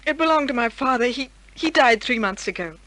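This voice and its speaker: An actress playing an anxious, pleading, secretive woman in a 1950s radio crime drama, speaking with earnest, dramatic pauses. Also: high voice, lively intonation, a bit bright, dry recording. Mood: anxious explaining. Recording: old radio drama recording with music.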